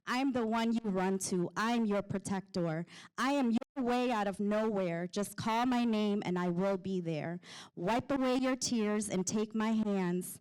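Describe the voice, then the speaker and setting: A woman's voice: medium pitch, measured pace, darkish tone, clean tone, rough recording. A woman performing spoken word poetry with impassioned, dramatic, rhyming rhythm. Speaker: poet.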